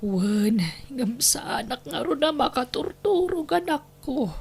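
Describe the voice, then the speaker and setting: A woman's voice: high-pitched, lively intonation, unhurried, vocal fry, exaggerated voice. A woman playing a long-suffering, betrayed wife and mother in an Ilocano radio drama, emotional and pleading. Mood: quiet sorrow.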